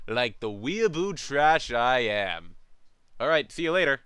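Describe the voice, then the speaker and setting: A man's voice: medium pitch, big pitch swings, a little slow, fairly bright tone, clear, resonant tone, no echo, studio quality. Man putting on a brash, swooping showy announcer. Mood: showy, loud.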